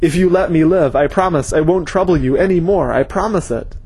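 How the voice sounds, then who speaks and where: A man voicing a husky, begging old villain. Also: a little high, a little fast, a little mellow, voice pushed up. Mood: pleading. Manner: begging, desperate. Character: pleading old male schemer, cornered villain.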